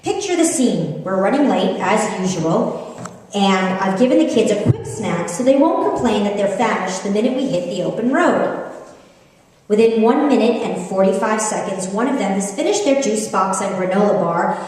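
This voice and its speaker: A middle-aged American woman, an author giving a humorous, conversational live book reading with animated, expressive delivery, recorded from a distance in an echoey room. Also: medium pitch, moderate pace. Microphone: distant microphone.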